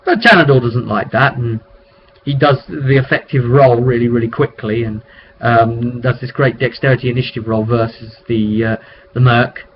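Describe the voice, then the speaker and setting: A man's voice: mid-range voice, normal speed. A man casually recounting a tabletop roleplay session as game master, off the cuff and conversational, in a rambling way. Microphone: webcam microphone. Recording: dull lo-fi webcam audio with noise.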